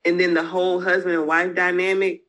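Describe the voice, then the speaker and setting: A woman's voice: fairly low pitch, level tone, brisk, a bit bright. A woman entrepreneur talking casually as an interview guest, speaking frankly with slang and the odd curse. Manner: candid, frank, uses slang and mild cursing.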